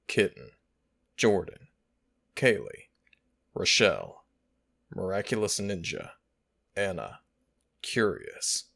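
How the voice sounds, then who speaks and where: Deep-voiced man reading thanks. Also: big pitch swings, takes it slowly, vocal fry, long pauses, a bit bright. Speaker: audio roleplay creator thanking supporters. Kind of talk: scripted outro thanks.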